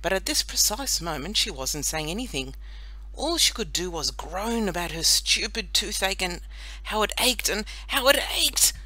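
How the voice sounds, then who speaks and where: Lady narrator for a kids audiobook, bright voice, very expressive, lots of up and down. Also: mid-range voice, dry recording, poor audio. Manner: expressive, deliberate storytelling. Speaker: audiobook reader. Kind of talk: scripted children's story narration.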